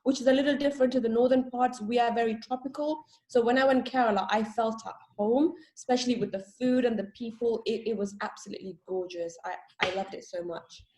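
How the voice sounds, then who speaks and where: A woman's voice: a little high, fast, few pauses, dark tone, slight background noise. A young woman from Sri Lanka, a guest in a casual interview, talking openly and chattily and laughing at herself.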